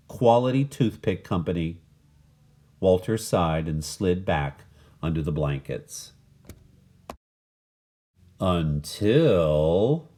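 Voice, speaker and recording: A man reading a children's picture book aloud in an animated, dramatic manner. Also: lowish voice. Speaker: story reader.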